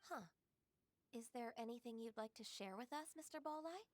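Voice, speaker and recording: An actress voicing a calm, composed young secretary in a video game, speaking gently, politely and with assurance. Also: female voice, a little high, fairly quick, natural voice.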